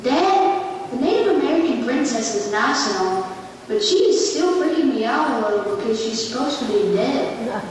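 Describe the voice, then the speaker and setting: A woman acts as a cheeky, skeptical kid in a puppet show, with a high voice and blunt, casual questions. It sounds distant, in a very reverberant hall. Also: expressive, normal speed, natural voice. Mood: uneasy, cheeky. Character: skeptical, cheeky young child, a bit creeped out. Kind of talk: scripted puppet show dialogue. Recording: echoey hall from far off, faint noise.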